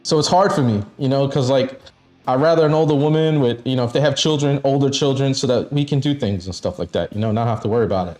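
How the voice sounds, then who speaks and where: A man's voice: medium pitch, quick pace, darkish tone, faint music behind. A man in his fifties chatting casually on a live stream, blunt and frank, swearing often and laughing between thoughts. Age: 50s. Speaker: stream co-host.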